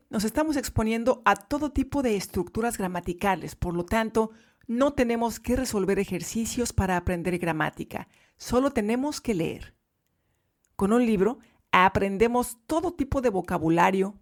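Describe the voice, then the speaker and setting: A woman teaching Spanish to learners in a podcast lesson, speaking clearly and emphatically. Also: mid-range voice.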